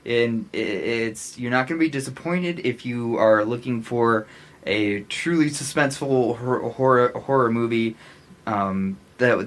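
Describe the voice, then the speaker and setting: A man's voice: medium pitch, a bit bright. Emphatic American man co-hosting a podcast. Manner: emphatic, gushing, halting restarts. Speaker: podcast co-host. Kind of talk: casual chat.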